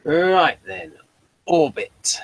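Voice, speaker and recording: A man's voice: on the high side, very slow, vocal fry, husky, no room sound, poor audio. A man hosting a casual review discussion, moderating and moving things along. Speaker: podcast host.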